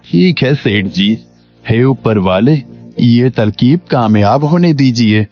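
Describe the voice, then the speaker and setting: A man's voice: mid-range voice, expressive, slow. A man playing a humble, wronged villager in a cartoon moral story, plaintive and respectful in his manner. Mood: hopeful. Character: humble, wronged, grateful villager cheated of savings. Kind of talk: Hindi animated moral story acting. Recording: dull lo-fi sound, faint music bed.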